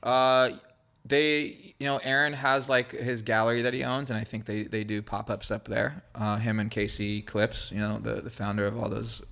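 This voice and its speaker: A man's voice: mid-range voice, flat delivery, normal speed, gravelly, a bit bright. A man whose first language is Hebrew, telling stories casually and in a rambling, slangy way as a podcast guest. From born in Israel, grew up in California. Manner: heavy slangy fillers, restarts mid-thought. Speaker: podcast guest, creative director. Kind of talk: casual, rambling conversational storytelling.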